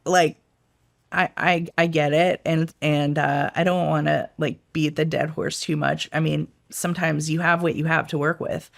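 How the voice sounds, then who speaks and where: A woman's voice: low-pitched, level tone, moderate pace, gravelly. A middle-aged woman, a former intelligence analyst, speaking casually in an interview, with many fillers and restarts. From United States, raised in Washington DC area. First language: Italian.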